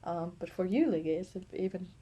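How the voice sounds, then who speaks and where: A woman from Latvia co-hosts a chatty podcast with a mellow, warm tone. She talks in a relaxed way, dropping in quick asides. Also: fairly low pitch, slightly rough. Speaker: podcast co-host. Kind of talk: casual chat.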